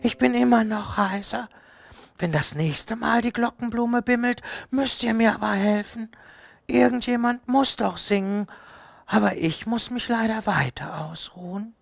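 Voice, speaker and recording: A woman playing a hoarse little root creature. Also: fairly high pitch, measured pace, no room sound. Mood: tired. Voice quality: hoarse, croaky. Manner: gentle, talks to listening children.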